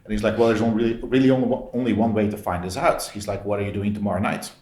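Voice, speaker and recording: Echoey low-voiced man telling casual stories. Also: rapid-fire, darkish tone. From Belgium. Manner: informal, re-enacts dialogue with frequent "like". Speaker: podcast guest. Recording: roomy, echoey sound.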